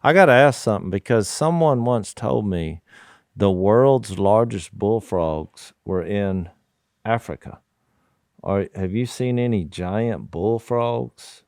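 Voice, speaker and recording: A man's voice: fairly low pitch, lively intonation, slow, darkish tone, smooth, dry recording, studio quality. A man co-hosting a podcast, joking and playful in casual talk. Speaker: podcast co-host. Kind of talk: casual chat.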